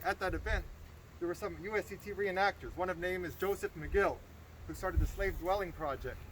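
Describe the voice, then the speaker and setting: A somewhat high-voiced man speaks earnestly to an outdoor gathering, telling his story with gratitude. Noise around him fills the open-air recording. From Estonia. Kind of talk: outdoor public speech, personal story. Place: outdoors by a river.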